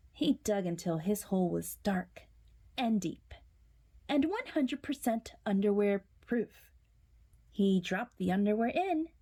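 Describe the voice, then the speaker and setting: Female children's storyteller: slow, highly animated picture-book read-aloud, dramatic and suspenseful. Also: creaky. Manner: dramatic, suspenseful, with hushed whispered words.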